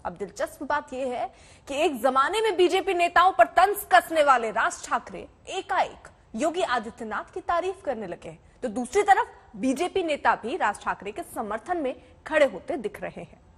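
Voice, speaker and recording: High, animated female news anchor. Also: normal speed. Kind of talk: scripted news narration.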